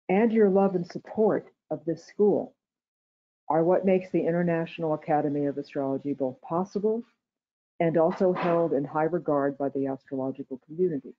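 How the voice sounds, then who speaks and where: A woman serving as education director, giving a prepared, soft-spoken welcome speech for a graduation ceremony on a video call. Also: low voice. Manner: soft-spoken, measured, read-aloud delivery. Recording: tinny phone-like video call audio.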